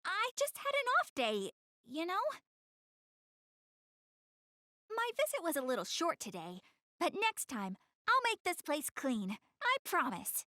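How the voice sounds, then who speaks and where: A woman's voice: very high voice, normal speed, no echo, clean studio sound, full character voice. A woman voicing a proud, easily flustered young goddess girl in an anime game dub, speaking expressively and directly to the player. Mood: sheepish, earnest. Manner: expressive, hesitant when covering up embarrassment.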